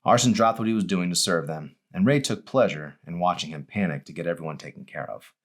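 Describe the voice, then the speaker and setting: A man reading his own novel aloud as expressive audiobook narration. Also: low-pitched, fairly quick.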